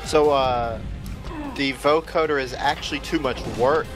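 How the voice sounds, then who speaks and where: A man's voice: mid-range voice, fairly even intonation, a little slow, over music. A man from the US South chatting casually on a gaming stream, laid-back and matter-of-fact. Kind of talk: casual chat.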